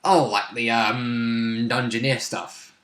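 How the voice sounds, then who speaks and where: A man's voice: mid-range voice, fairly even intonation, slow, a bit bright. A man chatting casually as a player about a tabletop role-playing game. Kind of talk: casual chat recapping a game session. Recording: roomy, echoey indoor recording.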